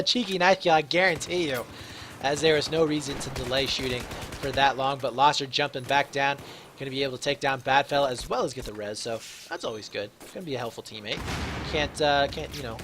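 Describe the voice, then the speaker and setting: A man's voice: a little high, noisy background. Chatty young man calling an esports match. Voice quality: slightly raspy from a long day. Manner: chatty, fills with "uh", grows excited on big plays. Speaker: shoutcaster. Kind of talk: solo esports play-by-play commentary.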